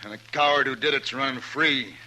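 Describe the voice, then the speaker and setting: An actor playing a stern, vengeful rancher father in a 1950s radio western, gruff and blunt, with an American accent. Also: medium pitch, fairly quick, sharp tone. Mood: grim, impatient. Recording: noisy vintage 1950s radio recording.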